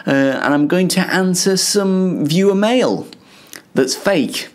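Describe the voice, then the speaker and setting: A man's voice: sits high, slow. A young man hosting a casual show in a self-deprecating way, stumbling over and repeating the starts of his phrases. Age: young adult. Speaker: host. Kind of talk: casual self-interview and chat to viewers.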